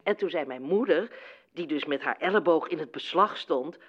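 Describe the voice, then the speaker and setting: An actress playing an effusive, chummy, bubbly radio show host in an audio drama. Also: female voice, deep voice, normal speed. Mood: chatty. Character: gushing, chatty woman radio show host. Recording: dull lo-fi radio sound over music.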